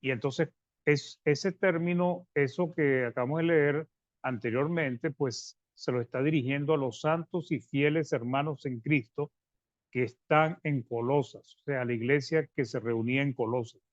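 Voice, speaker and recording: A man preaching a Bible-study sermon, reading verses and explaining them, calling his listeners brothers, with halting restarts and phrase ends that fade quietly. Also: mid-range voice, no room sound. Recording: dry, slightly dull sound.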